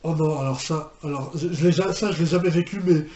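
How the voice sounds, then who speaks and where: A young man giving unscripted reaction commentary, halting and repeating himself in an incredulous way. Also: fairly high pitch, a little mellow, highs cut off. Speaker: reaction video host. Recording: echoey room with background noise.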